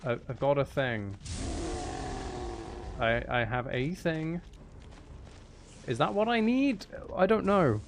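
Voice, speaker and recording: A man's voice: fairly high pitch, animated, slow, pauses often, a little hiss or hum. A man streaming a video game, thinking aloud in a casual, playful running commentary. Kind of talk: casual live gameplay commentary.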